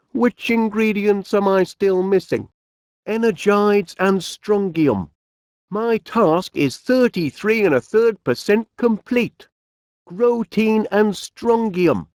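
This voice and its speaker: A man's voice: high voice, a little mellow, no echo, studio quality, exaggerated voice. A man playing a cheery, eccentric middle-aged inventor in a cartoon video game, with a Northern English accent and a dotty, genial, musing manner. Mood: pondering.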